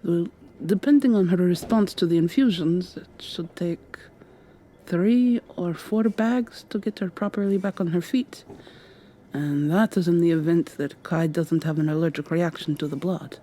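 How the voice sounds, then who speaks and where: A woman's voice: lowish voice, moderate pace, mellow, lightly acted. A woman playing an anxious, caring medic in an ASMR roleplay, speaking in a hesitant, earnest way. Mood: concerned, earnest. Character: anxious, gentle, caring medic helping a captive escape.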